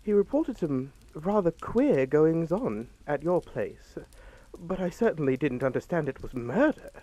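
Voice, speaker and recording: An actor playing a courteous, unhurried police desk sergeant in a suspense drama, official and deferential on the telephone. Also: male voice, a little high, sing-song, mellow, no echo. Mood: official, puzzled. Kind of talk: radio suspense drama acting.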